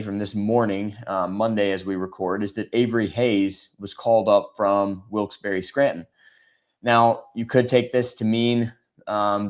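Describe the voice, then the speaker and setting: A man with a raspy, hoarse voice hosts a sports podcast, talking through the news in a casual, conversational way with frequent ums. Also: fairly low pitch. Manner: conversational, many filler ums. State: hoarse from shouting.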